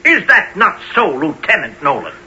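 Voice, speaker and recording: A man's voice: fairly high pitch, wildly swooping pitch, normal speed, bright, forceful tone, heavily acted. A man acting a relentless, sarcastic prosecutor who hammers out pointed questions in a period radio drama. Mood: stern, pressing. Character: relentless, accusing male courtroom prosecutor, sarcastic and stern. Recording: dull lo-fi old radio sound.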